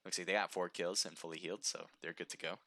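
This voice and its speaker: Brief: man, deep bright voice, slightly hoarse, upbeat livestream game caster calling the action and greeting viewers. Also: steady tone, fast, no room sound. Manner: chatty, upbeat, reads viewer names aloud. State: slightly hoarse, throat sore. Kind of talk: live esports-style play-by-play commentary.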